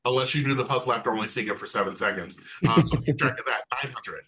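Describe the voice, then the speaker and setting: A man's voice: mid-range voice, fast, bright, forceful tone. A man hosting an online game night over a video call, chatty, upbeat and self-deprecating. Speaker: game show host.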